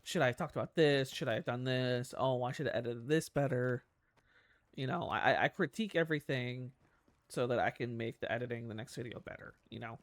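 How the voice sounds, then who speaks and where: A man's voice: medium pitch, brisk, dry recording. A man with a nasal voice, rambling in casual commentary over gameplay as a gaming YouTuber, swearing often and laughing at himself.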